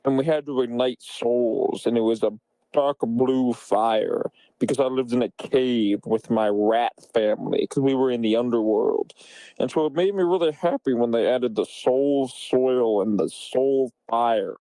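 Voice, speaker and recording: A man's voice: medium pitch, measured pace, mellow, dry recording, poor audio. A young man on a podcast improvising absurd comic memories, earnest and repetitive in simple sentences. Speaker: podcast member. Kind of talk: improvised deadpan comedy storytelling.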